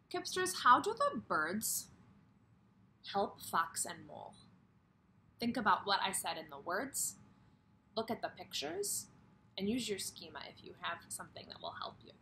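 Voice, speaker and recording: A woman's voice: mid-range voice, big pitch swings, unhurried, long pauses, pushed and bright, slight background noise, rough recording. A woman kindergarten teacher reading a story aloud expressively and putting questions directly to young children.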